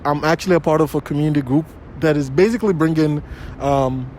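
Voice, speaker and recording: A man's voice: on the high side, fast talker, a little mellow. A young man in his twenties from Ghana, talking casually and peppering his speech with fillers in a street interview outdoors. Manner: casual, says "like" a lot, laughs. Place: outdoor plaza, city park. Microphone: handheld phone held to him. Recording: faint outdoor city noise.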